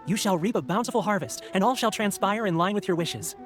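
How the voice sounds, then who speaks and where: Man as high-voiced cheery festival fortune teller. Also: quick pace, soft background music, natural voice. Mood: congratulatory. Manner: flowery, effusive. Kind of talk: video game voice acting.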